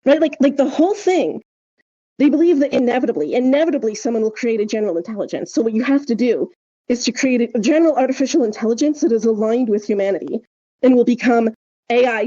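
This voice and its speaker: A woman with a fairly high yet very mellow, soft-edged voice talks through ideas as a podcast host. She is casual and emphatic, leaning on conversational fillers as she explains. The sound is slightly dull and lo-fi. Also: fast talker. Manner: emphatic, hedging with frequent "like" and "right?".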